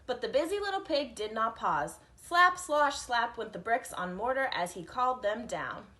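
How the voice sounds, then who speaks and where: Bright-voiced woman reading a children's story. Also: fairly high pitch, lively intonation, normal speed. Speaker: teacher reading a picture book aloud to children.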